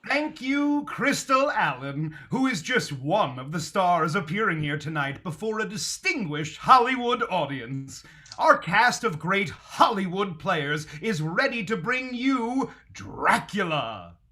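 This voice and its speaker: An actor playing a grand, theatrical 1930s radio announcer, with dramatic pauses. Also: male voice, high-pitched, very animated, sharp tone, heavily acted. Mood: grand, showy.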